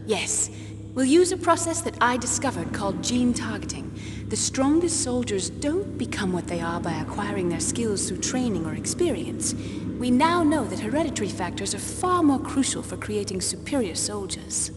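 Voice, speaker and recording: A poised, clinical female geneticist in a video game, her voice fairly high. She explains with precise composure, in long reverb with clear noise behind her. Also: sing-song, normal speed. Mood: composed, assured. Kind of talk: dramatic video game scientific briefing.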